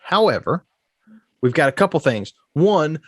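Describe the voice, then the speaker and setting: A man hosting a casual sports podcast, his voice slightly congested, talking things through in a relaxed way. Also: on the high side, unhurried, dry recording, clean studio sound. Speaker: podcast host.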